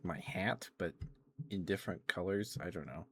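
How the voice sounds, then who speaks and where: A man chatting casually in an ASMR style as a live streamer, in a halting, gentle, rambling way. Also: deep voice, slight background noise.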